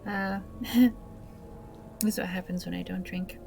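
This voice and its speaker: A woman's voice: on the low side, level tone, measured pace, gravelly, faint music behind. A woman hosting a gaming video with casual, awkward, self-deprecating commentary, speaking hesitantly. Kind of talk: casual let's play commentary.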